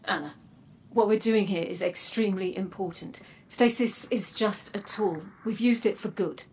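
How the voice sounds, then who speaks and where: Woman acting as solemn, breathy woman warrior. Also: medium pitch, a little mellow, slight background noise, lightly acted. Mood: earnest, urgent. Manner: measured, solemn. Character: grave, guarded woman warrior from a dark future timeline. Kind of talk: dramatic video game acting. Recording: game music underneath. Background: music.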